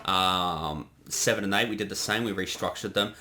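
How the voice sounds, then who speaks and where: A man's voice: low voice, normal speed, sharp tone, a little hiss or hum. A man giving a casual creator's commentary on a production he directed, chatting conversationally.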